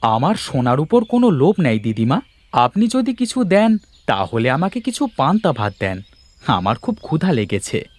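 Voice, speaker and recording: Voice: mid-range voice, big pitch swings, normal speed, dry recording. A performer voicing a kind, dutiful village boy in a cartoon, earnest and eager in manner. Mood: helpful.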